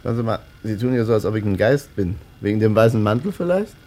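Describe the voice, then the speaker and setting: An actor playing a worn-down, plain-spoken barber in a German radio play, colloquial, emphatic and wry. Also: male voice, fairly low pitch, expressive, fast, darkish tone, lightly acted. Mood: wry, animated. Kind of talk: German radio play acting, narration and dialogue.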